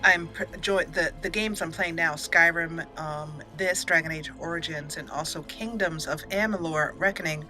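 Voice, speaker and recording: A woman's voice: low voice, moderate pace, few pauses, bright, forceful tone, faint music behind. A woman hosting a let's play, giving casual, playful commentary and chatting to the game's characters. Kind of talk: casual live gameplay commentary.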